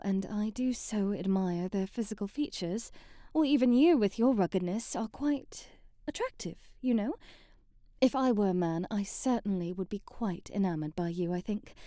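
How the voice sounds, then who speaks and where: Sheltered young princess, played by a woman, bookish, flustered and haughty; soft mellow tone, unhurried, for intimate ASMR roleplay. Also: mid-range voice, no echo, studio quality. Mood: wistful, hesitant. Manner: prim, old-fashioned diction, coy hesitant stammering.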